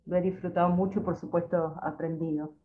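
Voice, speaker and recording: A woman moderating a seminar on a video call, speaking in a measured way as she thanks the guests. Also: lowish voice, level tone, narrow, tinny audio. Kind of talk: closing remarks.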